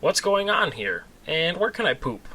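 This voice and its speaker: A guileless talking girl dog from a comic space story, read by a man. The voice is bright and plain-spoken, with no silly cartoon edge. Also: on the high side, big pitch swings, moderate pace, slight background noise, pitched above the performer's own voice. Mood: confused. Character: cheerful, naive talking female dog in space tale.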